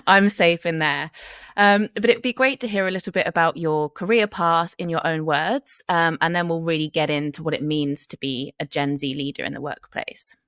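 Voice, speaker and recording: A woman hosting a podcast interview, asking measured, friendly questions. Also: low-pitched, fairly bright tone, clean tone, dry recording, clean studio sound. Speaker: podcast host.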